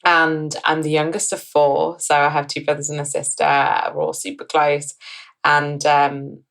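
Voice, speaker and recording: A woman's voice: low voice, little pitch movement, unhurried, vocal fry, a bit bright, rough recording. A woman in her thirties from northern England, a company co-founder, talking in a chatty way on a podcast. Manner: chatty, with frequent ums and restarts. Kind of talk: podcast interview.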